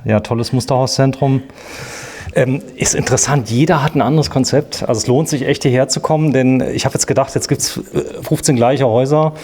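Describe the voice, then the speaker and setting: A man's voice: medium pitch, steady tone, brisk, darkish tone. A man presenting an informal, chatty walk-through interview in a show house, with a jokey manner. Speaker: TV presenter touring show homes. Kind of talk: casual interview while walking around. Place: walking around a show house.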